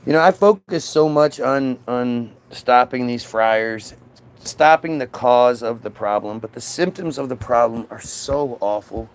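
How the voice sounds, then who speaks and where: A man's voice: medium pitch, unhurried, clean tone. A man in a casual, combative political rant, dismissive and sweary as he answers chat comments. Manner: frequent swearing.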